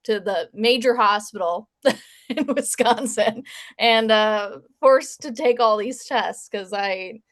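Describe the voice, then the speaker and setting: A woman guest author chatting in an interview, an animated storyteller who laughs between phrases. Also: fairly high pitch, slow. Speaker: guest author and game designer. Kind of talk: casual interview chat.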